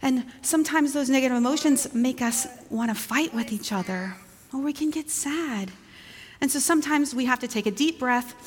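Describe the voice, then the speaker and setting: A woman speaks to young children in a church with long reverb. Her voice is a bit high, and she talks gently and informally, pausing between simple phrases. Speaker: church leader giving children's moment. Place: large indoor church.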